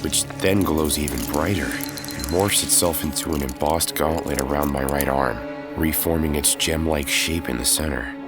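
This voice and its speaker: Guy doing moody hero narrating his own story, really low voice, music behind. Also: normal speed. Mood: solemn. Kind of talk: first-person scripted audio drama narration. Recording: dramatic music bed behind.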